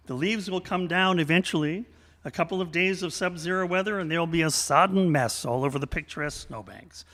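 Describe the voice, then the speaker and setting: Animated male radio variety show host. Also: a little high. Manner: animated, showmanlike. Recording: echoey hall, long reverb.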